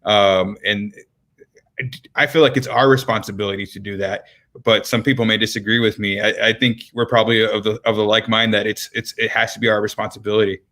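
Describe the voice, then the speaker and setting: A man's voice: fairly low pitch, level tone, fairly quick, fairly bright tone. A man hosting a live-streamed interview, talking in a friendly way with many ums and repeated words. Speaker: interview host.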